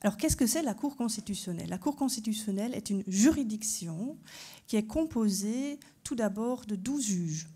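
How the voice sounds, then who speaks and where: A woman's voice: mid-range voice, lively intonation, normal speed, dark tone, slightly rough. A woman from Belgium whose first language is German, a constitutional court judge giving a structured conference lecture and putting rhetorical questions to her audience. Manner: structured explanatory delivery, poses rhetorical questions.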